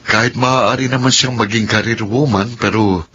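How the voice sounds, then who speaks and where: A man's voice: mid-range voice, fairly even intonation, moderate pace, sharp tone, a little hiss or hum, lightly acted. A man playing a young husband and father in a radio drama, teasing and patient. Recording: dull lo-fi radio recording.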